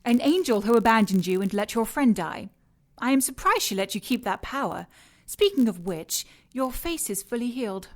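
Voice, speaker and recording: An actress playing a driven, composed scientist villain, formal and condescending, in an audio drama. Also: female voice, fairly high pitch, very animated, moderate pace. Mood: driven, smug.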